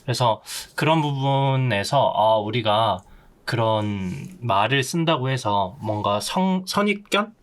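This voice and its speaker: A man on a podcast panel, talking casually in a reflective, halting manner. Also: medium pitch, expressive.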